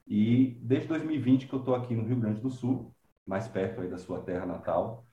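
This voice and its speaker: A man's voice: fairly low pitch, moderate pace, dark tone, reverberant, dull audio. A man from Bahia, a composer and professor talking unhurriedly in an interview, thinking aloud with many fillers. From Bahia, Brazil. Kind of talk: relaxed conversational interview.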